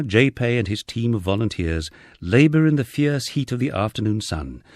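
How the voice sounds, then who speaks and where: A man narrating a first-person memoir as an audiobook, reading in a measured, expressive storytelling manner. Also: mid-range voice, no echo, clean studio sound.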